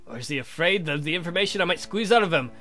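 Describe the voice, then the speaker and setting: A man voicing a sardonic, theatrical vampire count for a visual novel game, mocking and campy in a drawn-out way. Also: mid-range voice, expressive, pushed and bright, faint music behind. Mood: taunting.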